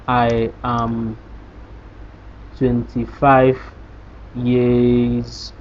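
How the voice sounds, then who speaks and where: Man teaching code over dull audio. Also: medium pitch. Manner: explanatory, walks through code step by step, asks rhetorical questions. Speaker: programming tutor. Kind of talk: screen-recorded coding lesson. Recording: dull lo-fi sound with faint hiss.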